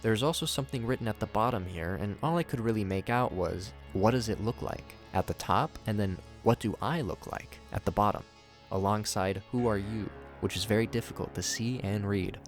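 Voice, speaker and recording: Male narrator, lower register, scripted review commentary, light music bed underneath. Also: normal speed. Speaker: YouTube narrator reviewing horror series.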